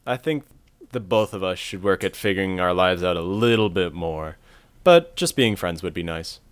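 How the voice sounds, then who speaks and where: A young actor playing a dorky, soft-hearted, melodramatic guy in a romantic comedy audio drama. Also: low voice, expressive, normal speed, clear, resonant tone, no echo. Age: young adult. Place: bedroom. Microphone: close desk mic with pop filter.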